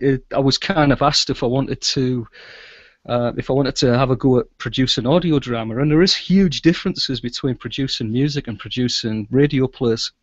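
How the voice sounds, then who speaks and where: A man's voice: medium pitch, no room sound. Middle-aged male interview guest, conversational and chatty, dry but slightly muffled online-call audio. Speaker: guest, audio drama producer and sound engineer. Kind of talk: casual interview talk. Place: small indoor room with shelves, video call.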